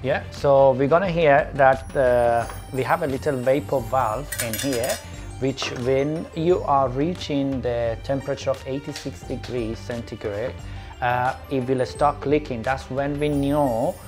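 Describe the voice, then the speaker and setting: Guy pitching cookware in a kitchen demo, middle pitch, faint music behind. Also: a little slow. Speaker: presenter and cookware promoter. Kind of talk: promotional cooking demonstration. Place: indoor demonstration kitchen.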